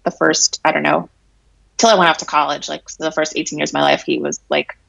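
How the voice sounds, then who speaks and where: A hoarse, raspy woman in her late twenties speaks candidly in a conversational interview. Her voice sits very low and gravelly, with a breathy, husky edge. The sound is close and dry, with no room around it. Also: flat delivery, a little fast, fairly bright tone, no echo, low-quality recording. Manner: frank, reflective, many fillers and laughs. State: hoarse from allergies. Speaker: interview guest.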